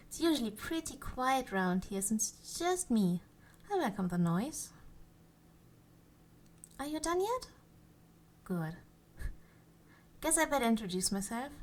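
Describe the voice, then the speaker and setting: A woman playing a cheerful, possessive yandere elf in an ASMR roleplay, speaking to the listener in a coy, playful way with drawn-out phrases. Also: fairly high pitch, very animated, slight background noise. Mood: chirpy, matter-of-fact.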